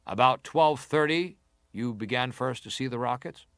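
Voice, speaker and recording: A man's voice: mid-range voice, moderate pace, a bit bright, clear, resonant tone, no echo, clean studio sound, lightly acted. Formal, probing senator played by a man. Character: formal, probing male senator questioning a witness. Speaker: inquiry chairman, questioner. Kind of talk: dramatized reading of historical testimony.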